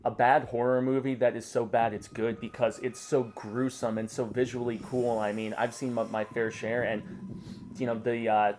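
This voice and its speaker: A man's voice: medium pitch, flat delivery, normal speed, a little mellow, slight background noise. A man co-hosting a review show, chatting informally and with enthusiasm. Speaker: co-host of a horror review show. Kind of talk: casual review chat.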